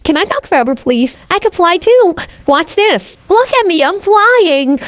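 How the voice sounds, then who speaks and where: A man's voice: very high-pitched, sing-song, unhurried, a bit bright, voice pushed up, exaggerated voice. A man voicing a sweet, cheerful female plush toy dragon for a cartoon, girlish and playful, through a feminizing AI voice changer. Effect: AI voice changer to sound feminine. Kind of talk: cartoon character voice.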